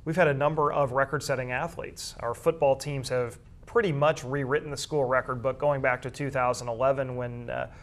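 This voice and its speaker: Male interviewee, mid-pitched, measured and detailed, explaining his work in school sports information. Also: steady tone.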